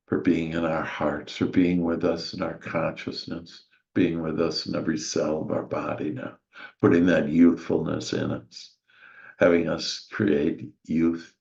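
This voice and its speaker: A man with an extremely deep voice leads a soothing spiritual visualization. He speaks very slowly and gently, leaving long pauses and letting words settle into a gravelly fry. The recording is a little muffled and lo-fi. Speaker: guided meditation leader. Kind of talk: soothing guided meditation, slow spiritual visualization.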